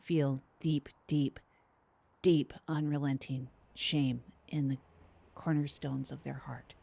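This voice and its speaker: A woman's voice: very deep voice, fairly even intonation, slow pace, gravelly, dark tone, heavily acted. A woman playing a guileless, oversharing small-town radio host in an improvised comedy broadcast, earnest, repetitive and easily wounded. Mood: solemn. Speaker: podcast co-host.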